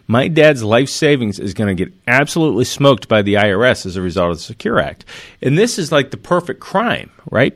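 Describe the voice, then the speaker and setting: A man with a fairly low voice talks through money matters earnestly and with emphasis. He builds his points on worked examples and often restarts mid-sentence. The sound is dry and close, with almost no room echo. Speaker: financial commentator. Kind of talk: explanatory talk to camera.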